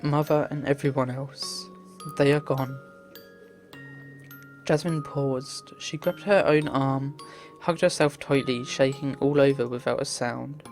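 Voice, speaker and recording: A man's voice: mid-range voice, fairly even intonation, a little slow, darkish tone. A man reading visual novel text aloud in an earnest, theatrical way as a game playthrough host. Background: game music.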